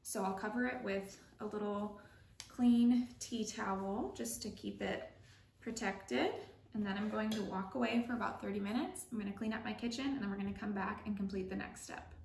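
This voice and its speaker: A woman's voice: medium pitch, steady tone, moderate pace, gravelly, darkish tone. Young woman chatting in an echoey kitchen. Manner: friendly, chatty, explains step by step. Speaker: home baker and channel host. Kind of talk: casual baking tutorial. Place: home kitchen, indoors. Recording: echoey kitchen with faint noise.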